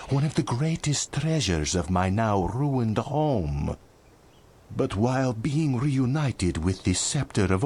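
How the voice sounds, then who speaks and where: A very low-voiced grave vizier sorcerer in a video game cutscene. He speaks formally and ominously, like a theatrical court mage, with faint ambient noise behind him. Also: a little mellow, full character voice.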